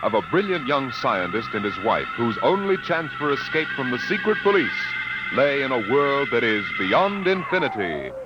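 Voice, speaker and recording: A man's voice: mid-range voice, very animated, normal speed, heavy background noise. A male announcer giving a dramatic teaser for next week's radio show. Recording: muffled old radio recording over music.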